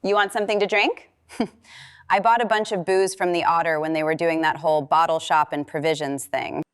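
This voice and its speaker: An actress playing a friendly, gossipy, affectionate shopkeeper in naturalistic audio drama dialogue, with a playful, drawling manner. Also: female voice, on the low side, steady tone, moderate pace, clean tone, dry recording, clean studio sound, natural voice. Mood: coy gossiping. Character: friendly, teasing small-town shopkeeper woman, gossipy and affectionate.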